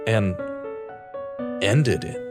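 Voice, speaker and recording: A man's voice: lowish voice, lively intonation, extremely slow, music in the background. An actor in an audio drama playing a stern male investigator who mentors a young journalist. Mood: proud, reassuring.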